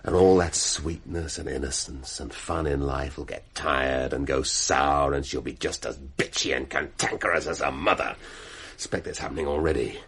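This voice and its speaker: A sneering middle-aged British businessman and husband for a radio play. The voice is extremely low and rough-edged. He insinuates and needles with drawn-out rhetorical questions. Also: a bit bright, full character voice. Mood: bitter, cynical.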